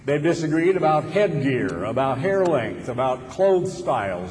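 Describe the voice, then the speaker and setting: A man's voice: a little high, a little mellow. A man preaching a sermon, building his points with rhetorical questions and sudden emphatic rises. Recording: long hall reverb with faint ambient noise.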